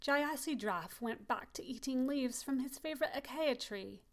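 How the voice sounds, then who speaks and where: A woman reading a children's picture book aloud, expressive and slowing down on key phrases. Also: high-pitched. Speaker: storybook reader. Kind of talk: children's story read-aloud.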